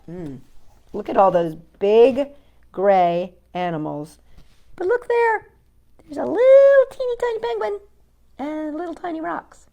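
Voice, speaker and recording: A woman hosting children's storytime, reading a picture book aloud expressively and deliberately with chatty asides. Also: high-pitched, highs cut off. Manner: expressive, deliberate, talking to young listeners.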